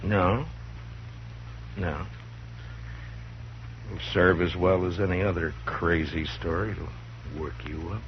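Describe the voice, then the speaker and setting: An actor playing a stern, steady frontier marshal, firm and commanding, in a 1950s radio drama. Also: male voice, low-pitched, slow pace, pauses often. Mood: cold. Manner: firm and commanding, with dry chuckles. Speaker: lead. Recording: muffled old radio broadcast, faint hiss.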